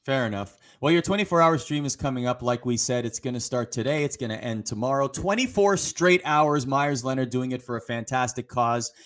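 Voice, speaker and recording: A man's voice: medium pitch, brisk, hardly any pauses, a bit bright. A man in his thirties hosting a casual interview over a video call, prompting his guest from a home studio with a studio mic. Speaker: interviewer host. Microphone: studio mic with headset on video call.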